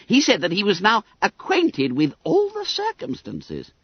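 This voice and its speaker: A storybook reader voicing a boastful, fat schoolboy, pompous and gleeful, with a squeaky, trilling voice. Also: huge pitch swings, measured pace, husky, no room sound, highs cut off, heavily acted. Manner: pompous, gleeful, muddles long words. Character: boastful, fat, bespectacled schoolboy, smug and indignant.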